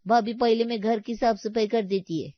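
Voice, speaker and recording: A woman's voice: fairly high pitch, fairly even intonation, normal speed, no echo, dull audio, close to an everyday voice. A woman playing a shrewd, grumbling housemaid who haggles in colloquial, broken grammar in a comedy story. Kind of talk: animated comedy story dialogue.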